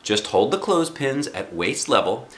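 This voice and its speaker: A man voicing an impatient, grouchy woman who runs a carnival game in a storybook, brisk and curt. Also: medium pitch, very animated, natural voice. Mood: instructing. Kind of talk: children's storybook read aloud.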